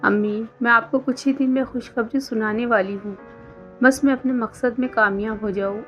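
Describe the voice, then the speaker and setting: A woman's voice: fairly high pitch, steady tone, moderate pace, fairly bright tone, lightly acted. A woman voicing a lovestruck, earnest young college woman in a storybook romance, pleading and heartfelt. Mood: reassuring. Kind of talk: scripted story dialogue. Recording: dull lo-fi sound under music.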